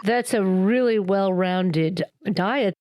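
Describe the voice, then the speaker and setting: A woman's voice: mid-range voice, takes it slowly, darkish tone. A woman hosting a podcast, reading polished narration and asking interview questions. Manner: polished, read-aloud delivery. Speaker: podcast host.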